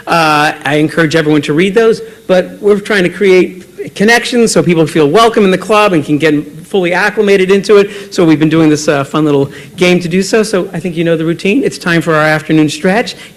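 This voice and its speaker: A man with a notably high voice runs a club meeting, thanking speakers and leading the room in a game. He sounds upbeat and encouraging, with plenty of "uh"s as he goes. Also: brisk. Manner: upbeat, encouraging, with frequent fillers. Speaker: meeting emcee.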